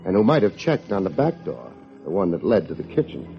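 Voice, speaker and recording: An American actor playing a wry, hard-boiled private detective in an old radio drama, with dry, needling delivery. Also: male voice, low voice, very animated, fairly quick, soft background music. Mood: grim narration. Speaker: private investigator and narrator. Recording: dull vintage radio recording.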